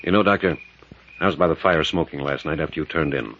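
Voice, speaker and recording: An actor playing a brave, rugged captain in a 1940s adventure radio play, clipped and plain-spoken. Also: male voice, very deep voice, fast talker. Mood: uneasy, earnest. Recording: dull, noisy 1940s radio recording.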